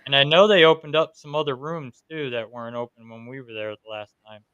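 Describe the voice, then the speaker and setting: A man's voice: mid-range voice, fairly even intonation, a little fast, a bit bright, smooth, lo-fi sound. A man from New York hosting a podcast, telling stories from investigations in a casual interview chat. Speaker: podcast host.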